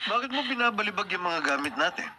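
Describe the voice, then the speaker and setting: A man's voice: sits high, lively intonation, fast talker, bright, forceful tone, full character voice. An actor playing an earnest, principled policeman in a Filipino radio drama, on a noisy broadcast recording. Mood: defensive. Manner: earnest, protesting, firm about rules. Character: honest, conscience-bound, put-upon male police officer.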